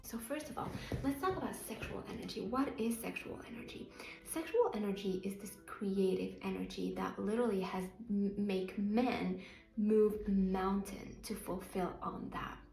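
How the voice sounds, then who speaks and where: A woman's voice: medium pitch, normal speed, gravelly, mellow. A woman from Morocco with a Moroccan accent, speaking as a motivational coach in an earnest, emphatic self-help talk to her channel's viewers. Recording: roomy echo, faint music underneath.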